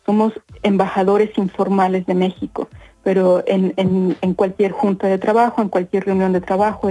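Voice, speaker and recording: A woman in her fifties with a Mexican accent, giving conversational interview answers, often pausing and repeating words as she searches for phrasing. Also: medium pitch, warm tone, low-quality recording. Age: 50s. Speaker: interview guest, corporate professional.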